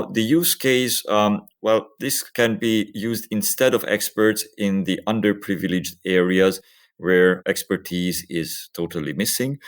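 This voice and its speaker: Low-pitched man explaining research. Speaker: physician researcher, interview guest. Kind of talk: explanatory interview answers.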